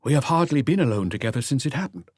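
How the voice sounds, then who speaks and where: A man's voice: medium pitch, big pitch swings, fast talker, darkish tone, breathy edge, dry recording, clean studio sound, pitched above the performer's own voice. A man voicing a nervous, deferential doctor who answers police questions in a hesitant, guarded way for an audiobook. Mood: uneasy, guarded.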